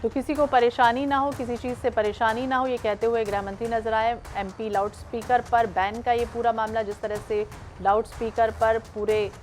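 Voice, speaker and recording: A woman's voice: fairly high pitch, level tone, normal speed, soft background music. A woman anchoring breaking television news, repeating headlines with emphasis.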